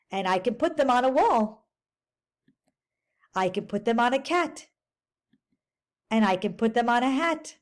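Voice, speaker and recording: An eager spotted creature, put on by a woman reading aloud, shows off in bouncy storybook rhyme. The voice sits fairly high and moves at an unhurried pace. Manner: bouncy rhyming storybook delivery. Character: boastful spotted male creature, eager show-off.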